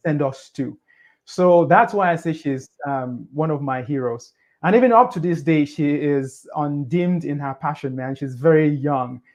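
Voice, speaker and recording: Man with a lively voice. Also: a little high, measured pace, lo-fi sound. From Cameroon. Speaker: podcast guest. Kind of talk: casual interview storytelling.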